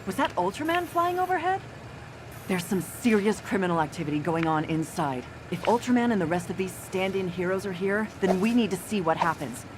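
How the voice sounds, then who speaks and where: A woman playing a bold, inquisitive news reporter in a video game cutscene, brisk and assertive. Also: mid-range voice, expressive, noisy background, natural voice. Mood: determined.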